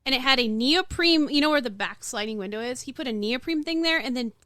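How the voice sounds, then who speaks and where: A woman's voice: sits high, lively intonation, fast, sharp tone, no room sound, clean studio sound. A woman co-hosting a podcast, telling stories informally and playfully in casual group chat. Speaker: podcast co-host.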